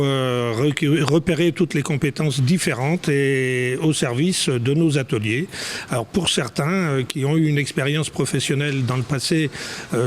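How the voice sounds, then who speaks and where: A man's voice: mid-range voice, slight background noise. A man who volunteers as a board member, explaining earnestly with frequent hesitations. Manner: hesitant, many fillers, earnest. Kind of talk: interview answers.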